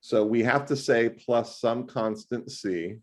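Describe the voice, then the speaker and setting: A man tutoring math one-on-one over a video call, talking loudly in an animated, joking way. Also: mid-range voice, slow pace. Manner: loud, animated and jokey.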